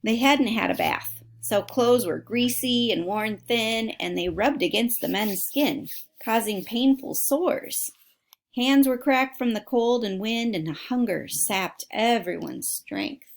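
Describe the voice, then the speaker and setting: Woman reading a story aloud, teacherly and expressive. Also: a little high, takes it slowly, vocal fry. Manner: dramatic emphasis, addresses listeners directly. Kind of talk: expressive read-aloud of a children's nonfiction story with asides. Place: at home.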